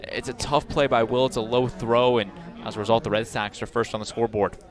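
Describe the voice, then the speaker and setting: A man's voice: mid-range voice, steady tone, fairly quick. A man giving baseball analysis as a color commentator on a game broadcast.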